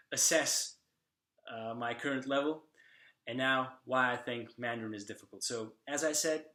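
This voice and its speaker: A young man from Slovakia, talking casually to the camera in a vlog and often pausing on fillers and restarts. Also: mid-range voice, sharp tone, reverberant. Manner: hesitant, many fillers and restarts. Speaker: language vlogger.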